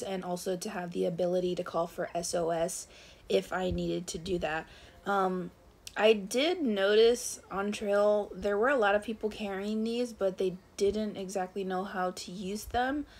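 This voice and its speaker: A woman narrating a casual review of her thru-hiking gear, relaxed, with frequent fillers as she recommends items. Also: mid-range voice.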